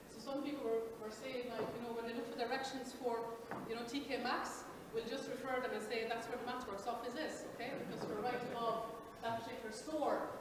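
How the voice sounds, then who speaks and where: Upbeat woman talking in an echoey hall. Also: fairly high pitch. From Belgium. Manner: upbeat, chatty, with frequent ums. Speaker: company presenter. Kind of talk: informal recruiting presentation. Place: large expo hall. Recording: echoey hall, distant mic, background noise.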